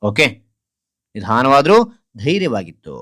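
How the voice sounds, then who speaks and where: A man teaching like a dictation lesson, reading out short phrases deliberately and repeating them word by word. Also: medium pitch, very animated, pushed and bright. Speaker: teacher presenting a children's writing lesson. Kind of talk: slow dictation-style lesson, reading out a story point by point.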